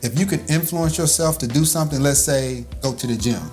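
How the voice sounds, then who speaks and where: A man's voice: fairly high pitch, a little fast, a little mellow, soft background music. Laid-back father teaching with a teasing edge. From Birmingham, Alabama. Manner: teasing, laughs often. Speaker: father and video host. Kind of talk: casual teaching chat.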